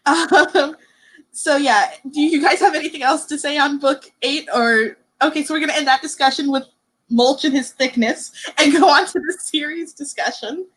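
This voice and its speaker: High-voiced young woman hosting a chat. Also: unhurried, long pauses, a bit bright. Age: early twenties. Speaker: book discussion host. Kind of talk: casual book discussion chat.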